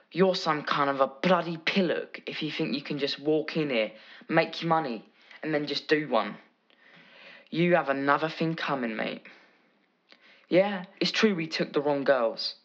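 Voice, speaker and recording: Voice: medium pitch, natural voice. A dull, lo-fi recording of an actor playing a cocky British kidnapper. The speech is colloquial and taunting, full of menace, in an audio drama. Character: menacing, cocky kidnapper making a threatening phone call. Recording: muffled, lo-fi sound.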